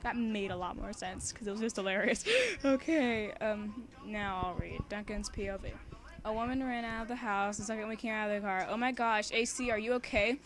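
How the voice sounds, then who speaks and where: A young woman reads a story aloud in a casual, homemade way. She has a medium-pitched voice and an excitable, giggly manner, and she drifts easily between the text and chatty asides. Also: a little fast. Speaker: amateur fan fiction reader and co-host.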